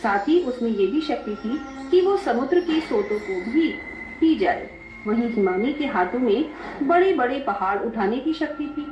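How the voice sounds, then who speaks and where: A woman's voice: fairly high pitch, lively intonation, normal speed. A woman narrating a scripted dramatic story. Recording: echoey, dull, music behind.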